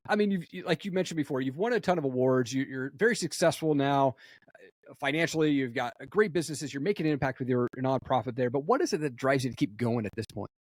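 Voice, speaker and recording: A man's voice: mid-range voice, fast, dry recording, clean studio sound. A man hosting a podcast interview, encouraging and friendly with his guest. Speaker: podcast host. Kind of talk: casual interview.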